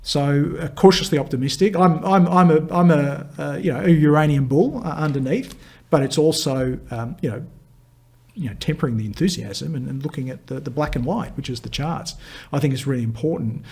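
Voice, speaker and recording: A hoarse man who is losing his voice, hosting a live viewer Q&A and rambling through stock charts with lots of fillers and restarts. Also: fairly high pitch, a little mellow. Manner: chatty and rambling, many fillers and restarts, quick laughs. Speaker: market analyst hosting a viewer Q&A. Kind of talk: live chart commentary answering viewer questions.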